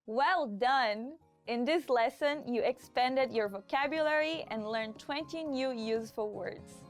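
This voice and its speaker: A woman presenting a vocabulary lesson, repeating each word slowly and deliberately. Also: high-pitched, faint music behind. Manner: slow, deliberate repetition. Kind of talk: slow word-by-word vocabulary drill.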